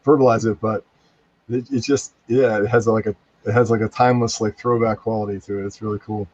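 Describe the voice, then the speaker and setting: A man's voice: lowish voice, fairly even intonation, fairly quick, a little mellow, slightly rough, dry recording, muffled recording. A laid-back man in his forties, a podcast guest, who talks loosely and drops "man" often. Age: 40s. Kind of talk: casual podcast chat.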